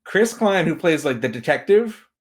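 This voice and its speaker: Male podcast guest, slightly high voice, casual and humorous film commentator. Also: big pitch swings, normal speed.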